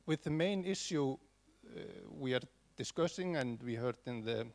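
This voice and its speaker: Halting man with a gravelly voice. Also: medium pitch, animated. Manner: halting, many restarts and fillers. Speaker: conference audience member. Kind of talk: spontaneous comment from the floor.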